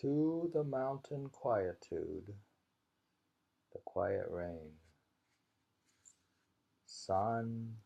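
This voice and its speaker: A man with a gravelly, somewhat husky voice reads haiku and adds casual, musing remarks. He takes his time, often repeating a line. The recording is a little dull. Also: mid-range voice, expressive, extremely slow, pauses often, warm tone. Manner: musing, repeats each poem. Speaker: reader and host of a poetry reading. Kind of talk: reading haiku aloud with casual commentary.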